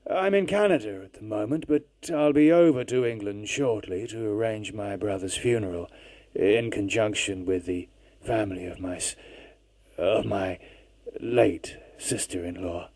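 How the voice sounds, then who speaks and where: A man voicing a quiet, formal, grieving middle-aged caller in an audiobook, speaking softly and politely. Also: a little high, fairly even intonation, measured pace, hardly any pauses, no room sound, pitched above the performer's own voice. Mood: subdued. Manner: subdued, formal, soft-spoken. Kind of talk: audiobook character voice.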